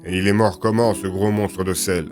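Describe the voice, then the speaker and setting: Man playing a blunt, low-voiced police captain. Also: fairly even intonation, normal speed, darkish tone, soft background music, natural voice. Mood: suspicious, blunt. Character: gruff, suspicious male police captain.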